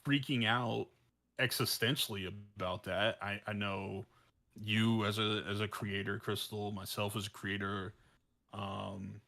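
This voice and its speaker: Guy podcast co-host, bright forward voice, lowish pitch, kinda rambling casual talk. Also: level tone, measured pace, vocal fry.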